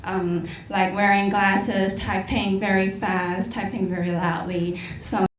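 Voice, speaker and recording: A woman's voice: mid-range voice, level tone, takes it slowly. A young woman in her early twenties with a Hong Kong English accent, a student giving a friendly prepared talk straight to the audience. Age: early 20s. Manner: friendly, speaks directly to the audience. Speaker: student speaker. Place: lecture hall. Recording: roomy hall, distant mic, faint hum.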